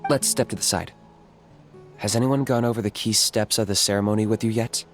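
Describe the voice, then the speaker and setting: Composed young mentor, male actor, low and matter-of-fact, game dialogue over music. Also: fairly even intonation, a little fast, lightly acted. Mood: plain. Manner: dry, matter-of-fact instructions. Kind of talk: video game voice acting. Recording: game audio with loud background music.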